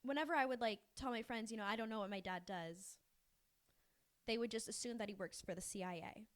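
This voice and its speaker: High-voiced young woman telling a story. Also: fairly quick, fairly bright tone. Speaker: podcast co-host. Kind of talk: casual storytelling chat.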